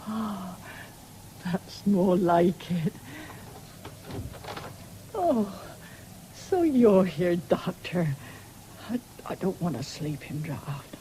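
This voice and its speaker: Weak, fretful bedridden English farm wife. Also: female voice, fairly low pitch, expressive, very slow, long pauses, warm tone, rough recording. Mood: weak, insistent. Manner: insistent, repeating demands. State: weak and failing. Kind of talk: 1970s British radio drama acting. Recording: hissy old radio broadcast recording.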